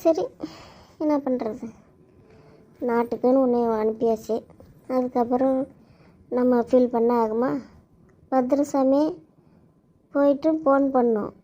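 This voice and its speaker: A woman's voice: high voice, steady tone, a little slow, pauses often, warm tone, highs cut off. Woman playing a fussing anxious mother. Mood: fretful, tender. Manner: tender, fussing, repeats advice. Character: anxious loving mother seeing off soldier son. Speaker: actor in a family skit. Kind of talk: amateur family drama acting.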